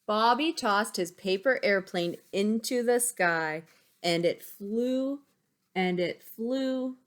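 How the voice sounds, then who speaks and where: A woman's voice: mid-range voice, slow pace. A woman schoolteacher reading a picture book aloud to young pupils, using simple phrasing and stopping to ask them questions. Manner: simple child-directed phrasing, checking questions.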